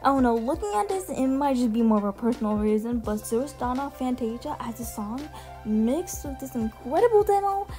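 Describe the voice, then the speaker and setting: A woman's voice: sits high, lively intonation, brisk, warm tone. A woman giving casual video commentary as she presents a ranking, gushing and emphatic, with casual swearing. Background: music under the voice.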